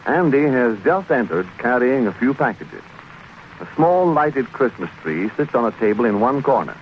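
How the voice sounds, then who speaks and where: A man's voice: mid-range voice, big pitch swings, moderate pace. A man working as a radio announcer, reading scripted scene-setting narration in 1940s broadcast style. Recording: muffled vintage radio broadcast, faint noise.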